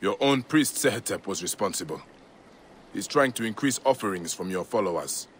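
A man's voice: fairly low pitch, lively intonation, normal speed, slight background noise, lightly acted. An actor playing a grave, protective ancient Egyptian lawman in a video game cutscene. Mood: calm, firm. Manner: grave, resolute, plain-spoken. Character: stern, protective ancient Egyptian lawman warrior, grown man.

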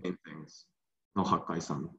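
Slow young Japanese-accented man, roomy call. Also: deep voice, steady tone, gravelly. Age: young adult. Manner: halting, repeats words, soft laughs. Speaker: brewery guest. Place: tasting room with bottle shelves. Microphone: video call. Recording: roomy, dull video call audio.

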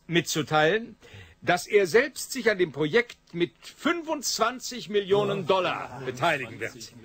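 Effusive gentleman with animated, higher-pitched voice. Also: dry recording, heavily acted. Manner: effusive, formally courteous, flowery. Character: genial, eager man, go-between among financiers. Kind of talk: German radio drama acting, 1980s.

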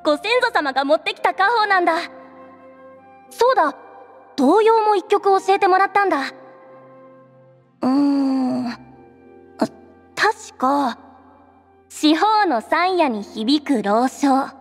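High, earnest young islander. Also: female voice, expressive, slow pace, long pauses, exaggerated voice. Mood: eager. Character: earnest young islander entrusted with family lore. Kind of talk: Japanese game voice acting. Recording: long reverb, music underneath.

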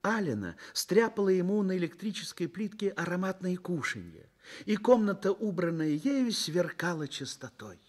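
Man narrating with wide, expressive intonation. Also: high voice, normal speed. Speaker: narrator of a radio play. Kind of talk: scripted literary narration, Soviet radio drama.

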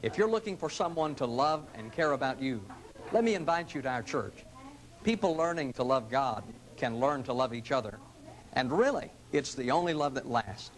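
Earnest middle-aged preacher pitching church. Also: male voice, lively intonation, moderate pace, low-quality recording. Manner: earnest, gentle, inviting delivery. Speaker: pastor. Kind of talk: scripted television ad pitch. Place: studio sets and church rooms, indoors. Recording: 1980s local TV ad audio.

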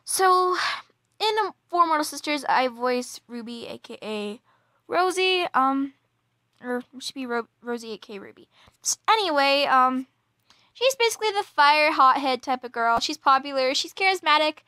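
Young woman, high-pitched, bright and forceful, giggly, gushing, casual promo chat. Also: lively intonation, normal speed, dry recording. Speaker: amateur voice actress introducing a role.

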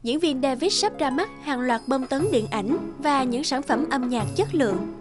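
A woman giving scripted storytelling narration for animated tales. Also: sits high, moderate pace, music in the background.

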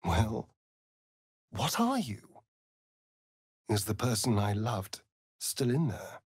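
A man's voice: lowish voice, very animated, takes it slowly, a little mellow, slightly rough, dry recording, clean studio sound, exaggerated voice. A man playing a sardonic, theatrical male vampire rogue in a video game, with an arch, drawling delivery. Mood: intense. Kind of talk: video game character acting.

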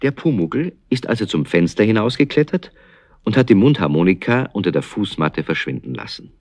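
A man's voice: fairly low pitch, very animated, fairly quick, darkish tone, dry recording. A man narrating a children's radio play as a storyteller. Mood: storytelling. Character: male storyteller of a children's tale.

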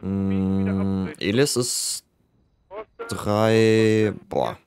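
Low-voiced man chatting in police roleplay. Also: steady tone, very slow, darkish tone. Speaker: police officer player, streamer. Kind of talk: casual roleplay chat in a game.

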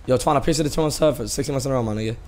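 A man's voice: mid-range voice, racing, a little mellow. An American man chatting on a casual gameplay stream in brash, profane, repetitive street slang. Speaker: live streamer playing a GTA roleplay game.

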